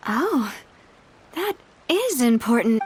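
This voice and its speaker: High, spirited young lady boss. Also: sing-song, slow, husky, exaggerated voice. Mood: thoughtful, confident. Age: young adult. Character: spirited young woman boss of a gang, warm and resolute. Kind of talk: video game voice acting.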